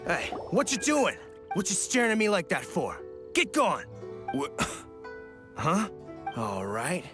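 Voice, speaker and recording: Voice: medium pitch, wildly swooping pitch, takes it slowly. An actor playing a shifty, defensive street lout in a video game, slangy and curt. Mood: defensive, surly. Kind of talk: video game character acting. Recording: music behind.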